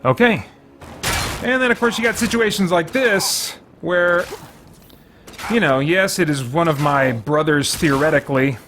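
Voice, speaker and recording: A man with a fairly high-pitched voice hosts a casual gameplay show. He talks conversationally, musing and thinking aloud as he goes. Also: unhurried, background noise. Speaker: gamer, host of a faith and geek culture show.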